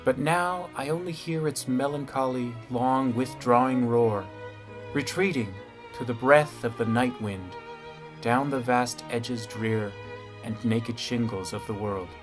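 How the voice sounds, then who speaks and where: A troubled, earnest husband, played by a man recites melancholy verse very slowly in a mid-range voice. The solemn dramatic reading has faint music beneath it. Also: medium pitch, lightly acted. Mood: solemn, mournful.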